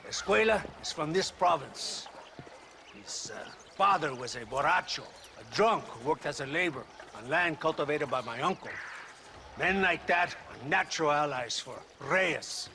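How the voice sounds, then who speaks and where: A menacing Mexican military tyrant, speaking in a high, bright voice that swings widely. He is pompous, theatrical and sneering, with a Mexican accent and faint noise behind him. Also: animated, normal speed, creaky, heavily acted. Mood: grandiose. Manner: theatrical, sneering, mixes Spanish into English. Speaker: video game character actor. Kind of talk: dramatic game cutscene acting.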